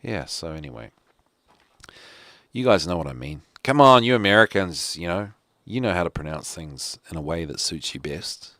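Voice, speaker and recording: A man's voice: sits low, a bit bright, no room sound, studio quality. A man with a New Zealand accent hosting a casual solo talk show, rambling with lots of fillers and restarts. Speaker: podcast host.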